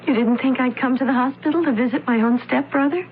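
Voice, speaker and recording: An actress playing a bitter, cold young stepsister bent on revenge in a radio drama, intense and menacing. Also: female voice, sits high, quick pace. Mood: guarded, falsely sweet. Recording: muffled, noisy old radio broadcast.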